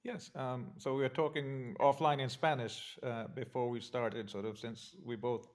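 An older man from Argentina, a guest on a video call, telling his life story in a relaxed, measured way with many pauses and fillers. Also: mid-range voice. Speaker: interview guest, political scientist. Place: home study with bookshelves. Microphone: video call webcam.

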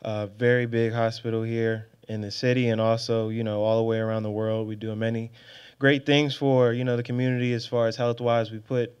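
A young man in his twenties speaks on a panel in a steady, easygoing way, his voice smooth and resonant but quite flat in tone. The sound comes through a little dull. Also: medium pitch, a little fast. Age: 20s. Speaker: panelist, hospital IT fellow. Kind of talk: panel introduction to students. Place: stage at a large event space. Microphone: handheld microphone.

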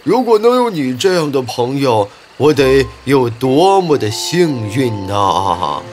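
A man voicing an earnest, soft-hearted crocodile in a children's animated fairy tale, with a pleading, emphatic delivery. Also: mid-range voice, slow, soft background music. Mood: grateful. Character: earnest, soft-hearted male crocodile, troubled loyal friend.

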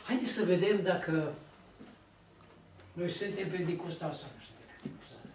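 A man's voice: high voice, a little mellow, a little hiss or hum. An older man preaching at a lectern, telling stories and acting out the lines of people in them. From Romania. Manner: storytelling, acts out quoted dialogue, gestures widely. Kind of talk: sermon. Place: church hall, at a lectern. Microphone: far camera. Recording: echoey hall from a distance.

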